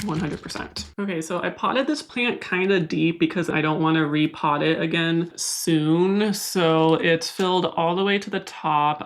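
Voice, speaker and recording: A young woman talking casually and candidly to her viewers while she repots and stakes houseplants. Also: deep voice. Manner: soft, candid, confiding. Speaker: plant vlogger.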